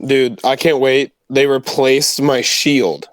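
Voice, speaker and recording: A man's voice: medium pitch, slow, dry recording. A man chatting casually as a player in a tabletop roleplaying game. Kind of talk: casual chat. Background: music.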